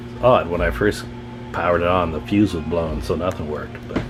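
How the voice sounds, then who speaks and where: A man with a low voice talks through a hands-on electronics demonstration over music. He is casual and informal, thinking aloud like a hobbyist at the workbench. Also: steady tone, normal speed. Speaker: radio repair hobbyist presenting.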